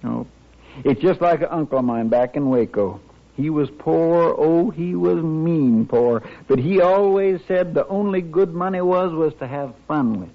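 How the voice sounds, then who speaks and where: Folksy, drawling Texan sidekick. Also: male voice, fairly high pitch, expressive, measured pace. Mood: folksy chatter. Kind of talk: 1950s radio western acting. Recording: dull vintage 1950s radio recording.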